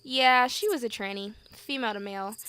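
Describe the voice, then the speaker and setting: A woman's voice: fairly high pitch, a little fast, pushed and bright, clear, resonant tone. A woman playing a brash, chatty, foul-mouthed young woman in an audio drama, blunt, casual and slangy. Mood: breezy, blunt. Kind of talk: amateur audio drama acting.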